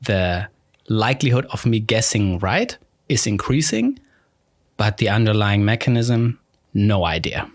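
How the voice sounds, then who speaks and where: A German man with a fairly low voice hosts a casual podcast chat. He rambles a little, often restarts his sentences and pokes fun at himself. The sound is dry and close, with no room echo. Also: big pitch swings, unhurried. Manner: rambling, self-deprecating, frequent restarts. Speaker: podcast host. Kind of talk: casual conversation.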